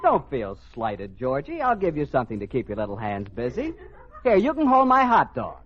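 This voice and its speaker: An actor playing a cocky, wisecracking customer with brash, slangy talk in 1940s radio comedy. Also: male voice, medium pitch, wildly swooping pitch, moderate pace, over a noisy crowd. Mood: mocking. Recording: muffled, noisy old radio recording, studio audience.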